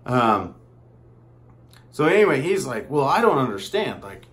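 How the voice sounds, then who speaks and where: A man telling a personal story casually, in a rambling, halting way with frequent asides. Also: mid-range voice, sing-song, fairly bright tone.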